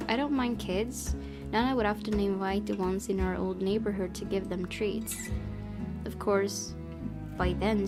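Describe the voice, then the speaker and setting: A woman reading visual novel text aloud as a game streamer, with expressive, chatty asides. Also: medium pitch. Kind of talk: let's play, reading game text aloud with casual commentary. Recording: game music behind voice. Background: game music.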